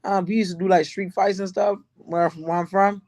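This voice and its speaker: A man from Barbados speaks in a slightly high voice, soft-spoken and halting, answering questions with fillers and repeated words. The sound is dry and close, with no room around it. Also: level tone, no room sound. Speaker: guest, professional boxer. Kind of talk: casual interview answers.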